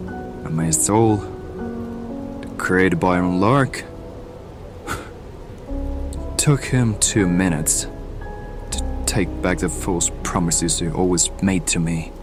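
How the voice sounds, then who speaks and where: Man acting a deep, slow, bitter mercenary. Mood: bitter, resentful. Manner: sardonic, grumbling, coarse-mouthed. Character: bitter mutant mercenary man, haunted and reluctant, world-weary. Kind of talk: audio drama acting. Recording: music under the voice.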